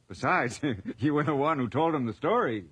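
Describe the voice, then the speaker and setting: Brusque small-town police chief. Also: male voice, fairly high pitch, expressive, normal speed, a bit bright, husky. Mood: brisk, commanding. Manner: brusque, sardonic. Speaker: actor in radio drama. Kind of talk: radio drama acting.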